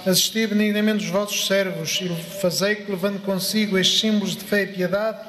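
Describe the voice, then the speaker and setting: A middle-aged man, a priest, reciting prayers and a blessing in a solemn, formal, measured way. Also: high-pitched, steady tone, noisy background. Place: large open-sided chapel before a crowd. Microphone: gooseneck microphone at lectern, through PA. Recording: very echoey amplified sound, long reverb.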